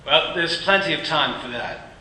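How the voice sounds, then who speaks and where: Grieving father in a radio play, bright tone, halting and soft, played by a man, heard from across an echoey room. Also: a little high, animated, a little hiss or hum. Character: grieving gentle clerk and father. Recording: roomy, distant from the mic.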